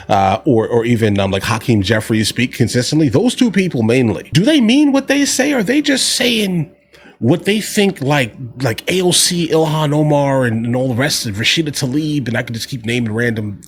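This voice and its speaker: A man's voice: medium pitch, husky. A man giving casual, slangy commentary to his audience, with exasperated rhetorical questions. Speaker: reaction video commentator.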